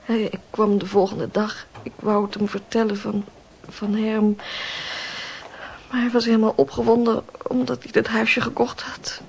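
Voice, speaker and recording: Young female student, high-pitched, creaky, halting, subdued, radio drama, faint noise. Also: level tone. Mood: hesitant, confessing. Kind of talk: naturalistic Dutch radio play acting.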